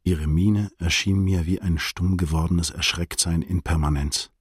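An actor playing a reflective male prosecutor, narrating a literary radio drama in a measured, detached, observant way. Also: low-pitched, steady tone, husky, no echo, clean studio sound. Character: reflective male state prosecutor recounting a visit to friends.

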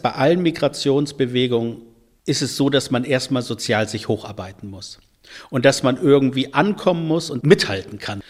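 A man in a church role answering interview questions, explaining thoughtfully and letting phrases fade softly at their ends. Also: mid-range voice, expressive, normal speed.

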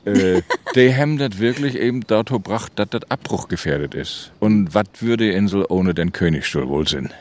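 A middle-aged or older man from Rügen with a Low German Baltic coast accent, telling anecdotes colloquially as an interview guest. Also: moderate pace, hardly any pauses. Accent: Low German, Baltic coast of northeast Germany. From island of Rügen, northeast Germany. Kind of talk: casual storytelling chat.

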